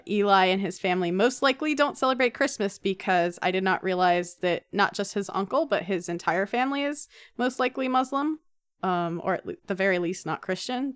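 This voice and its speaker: Female podcast co-host, crisp clear voice, close dry sound, informal delivery. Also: lowish voice, no echo. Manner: informal, conversational. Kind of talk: casual podcast chat.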